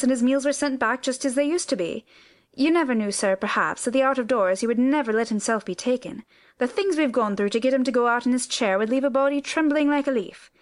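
A woman's voice: high voice, pitched above the performer's own voice, lightly acted. A woman reader voicing a flustered, talkative older housekeeper who rambles through her explanations. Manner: breathless, rambling explanations, lots of "sir".

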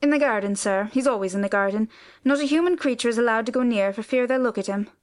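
A woman's voice: on the high side, quick pace, natural voice. A woman reader voicing a flustered, talkative older housekeeper who rambles through her explanations. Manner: breathless, rambling explanations, lots of "sir".

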